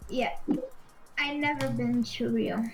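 A woman's voice: on the high side, takes it slowly, vocal fry, soft background music. A young woman from Brazil with a Brazilian Portuguese accent, a native Portuguese speaker, chatting casually on a live stream in a blunt, offhand way. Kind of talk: casual chat.

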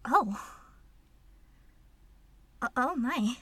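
A very high, bright voice for a woman acting a shy young female servant in an intimate roleplay drama. She is curious and flustered, slipping into breathy whispers. Her delivery is slow and hesitant, with stammers. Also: animated, no echo, exaggerated voice. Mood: tempted, scandalized. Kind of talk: intimate first-person audio roleplay drama.

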